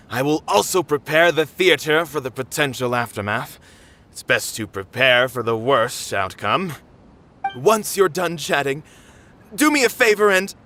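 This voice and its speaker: A dutiful, stern theater manager, with a forceful, fairly high male voice that swings widely in pitch. He speaks formally and emphatically, anime game style. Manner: emphatic, formal, measured phrasing. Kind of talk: anime game dialogue acting.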